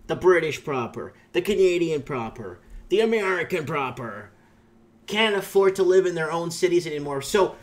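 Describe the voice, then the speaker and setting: Male channel host, Portuguese native, slightly high voice; loose, opinionated, filler-heavy commentary. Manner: rambling, opinionated, many fillers and restarts. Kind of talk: casual commentary reading viewer comments.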